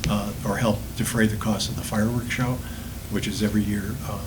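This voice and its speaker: A man on a council explaining something in a measured, halting way. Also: fairly low pitch, level tone. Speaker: council member. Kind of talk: meeting explanation. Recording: meeting recording with clear background noise.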